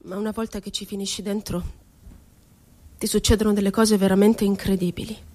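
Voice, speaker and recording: A woman's voice: medium pitch, flat delivery, fairly quick, warm tone, close to an everyday voice. An actress playing an ironic, wounded woman poet confined in an asylum, telling her story expressively in a radio theatre monologue. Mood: wry, mock-innocent.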